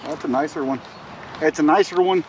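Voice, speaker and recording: A man's voice: fairly high pitch, a little fast, gravelly, slight background noise, rough recording. A man in his forties on a riverbank, chatting casually to viewers and eagerly urging a child to reel in. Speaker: fishing vlogger, father. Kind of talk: casual outdoor vlog chat. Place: outdoors on a riverbank. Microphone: camera on the bank, a few steps away.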